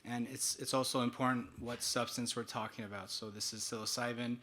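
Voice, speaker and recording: Man, bright voice, flat delivery, measured panel answer. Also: medium pitch, slight background noise. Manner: measured, explanatory, frequent fillers. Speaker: panelist, clinician. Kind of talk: panel answer at a medical conference.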